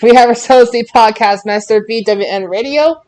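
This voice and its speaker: A man hosting a casual group discussion about sport, in a halting way with repeated words. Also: extremely high pitch, a little mellow, smooth. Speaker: podcast host.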